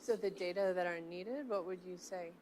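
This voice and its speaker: A woman's voice: on the low side, moderate pace, a little mellow, slight background noise, rough recording. A woman moderating a symposium panel, speaking informally with fillers and light humor. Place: symposium hall, indoors.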